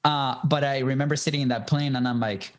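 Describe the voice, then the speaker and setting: A man's voice: medium pitch, steady tone, brisk. A man in his thirties from Venezuela with a Venezuelan Spanish accent, a native Spanish speaker, telling his life story as a podcast guest in a conversational way with many fillers and restarts. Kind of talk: casual storytelling interview.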